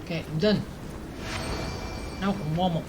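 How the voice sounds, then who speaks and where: A gamer talks casually while playing, reacting in short, informal remarks. The recording has clear noise behind the voice. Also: medium pitch, steady tone, moderate pace. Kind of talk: casual gameplay commentary.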